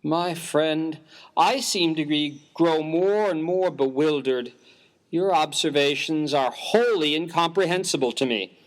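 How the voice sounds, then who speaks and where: Man playing a slow, pompous, bewildered clergyman. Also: fairly high pitch, close to an everyday voice, rough recording. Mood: bewildered, formal. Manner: formal, pompous, precisely worded. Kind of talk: dramatized reading of a humorous story.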